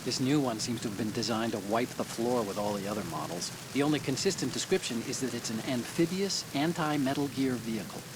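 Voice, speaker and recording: A man's voice: mid-range voice, a little fast, hardly any pauses, noisy background, natural voice. An actor playing an earnest young male engineer giving mission briefings over a video game radio call, explaining things at length.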